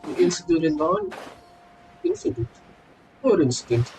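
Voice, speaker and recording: A woman's voice: lowish voice, wildly swooping pitch, moderate pace, slight background noise. A woman game streamer giving casual let's play commentary, playfully repeating phrases over game music. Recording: loud game music behind.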